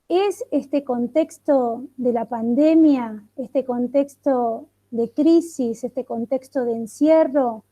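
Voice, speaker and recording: Woman, high voice, sharp bright tone, reflective questioning, online workshop over a video call. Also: low-quality recording. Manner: poses many questions, thoughtful pauses.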